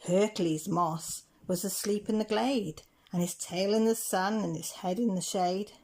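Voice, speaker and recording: A woman reading rhyming picture-book stories aloud in a measured, rhythmic way. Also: medium pitch, a little mellow. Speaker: children's story reader.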